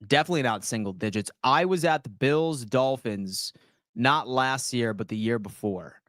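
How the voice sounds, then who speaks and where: A man, hosting a casual sports livestream and answering viewers' comments in slangy, animated talk. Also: mid-range voice, moderate pace, no room sound, clean studio sound. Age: 30s. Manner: slangy, animated, reads chat aloud and answers.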